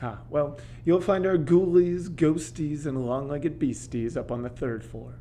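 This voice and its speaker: A man's voice: on the high side, steady tone, measured pace, dark tone, slight background noise. An actor playing a teasing male librarian in an audio play, with a dry, arch manner. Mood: wry, teasing.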